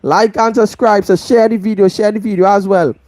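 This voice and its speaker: A woman's voice: mid-range voice, fairly even intonation, fairly quick, darkish tone, no echo. A Trinidadian woman hosting a live football match review, stressing her points by repeating them. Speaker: football channel host.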